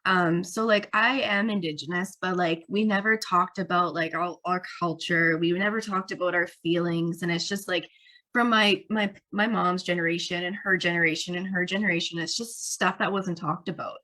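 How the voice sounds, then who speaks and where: A woman's voice: lowish voice, steady tone, moderate pace, pushed and bright. A woman guest on a podcast telling her story casually, with many fillers. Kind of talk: casual interview chat.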